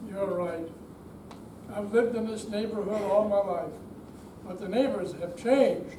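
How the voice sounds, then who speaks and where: A man's voice: high-pitched, measured pace, mellow. An older man playing a grumbling but trusting bank customer in an amateur stage reading. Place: indoor senior center performance room. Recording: distant echoey hall, faint noise.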